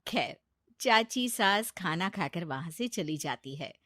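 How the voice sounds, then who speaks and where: A woman narrating a scripted family story in an expressive storytelling style. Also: lowish voice, slow, dry recording, clean studio sound.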